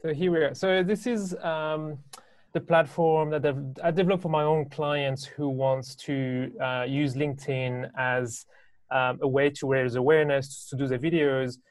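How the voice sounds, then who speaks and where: A man in his thirties with a French accent, explaining things casually and haltingly as an interview guest on a video call. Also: fairly high pitch, steady tone, no echo. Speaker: guest, business owner and educator. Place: small indoor room, video call.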